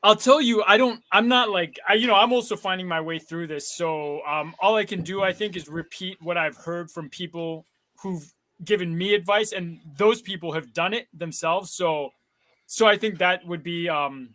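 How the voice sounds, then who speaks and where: A man's voice: sits high, moderate pace, sharp tone. A young man from Kenya, an actor being interviewed, chatting casually and thinking aloud with lots of hedging. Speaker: actor guest.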